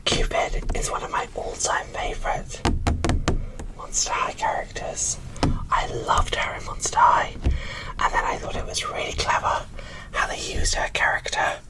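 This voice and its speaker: Female ASMR host from the UK, hushed breathy whisper, very slow delivery, slightly distant pickup. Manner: soft, unhurried, breathy whispering. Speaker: host of an ASMR doll unboxing channel. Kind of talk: whispered ASMR unboxing commentary.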